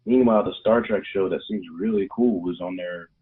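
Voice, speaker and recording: Male co-host, very deep and husky, blunt and sarcastic, heard over a tinny phone-like line. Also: fairly quick. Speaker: podcast co-host. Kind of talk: casual movie podcast chat.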